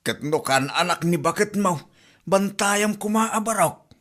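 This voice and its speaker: A man acts out an older father with an Ilocano accent in a radio comedy. His voice is sharp, slightly high and very lively as he questions and admonishes. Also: a little slow. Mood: questioning. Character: blunt, advising older father.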